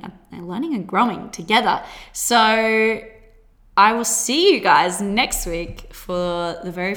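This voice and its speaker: A woman in her twenties with an Australian accent, hosting a solo podcast in a bubbly, chatty way with quick laughs. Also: medium pitch, fairly bright tone. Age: 20s. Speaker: podcast host.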